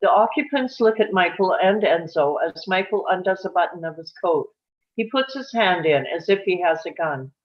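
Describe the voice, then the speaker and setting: A woman's voice: fairly low pitch, lively intonation, normal speed. Woman narrator on dull, lo-fi audio. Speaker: narrator reading stage directions. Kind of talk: scripted narration for a live table read.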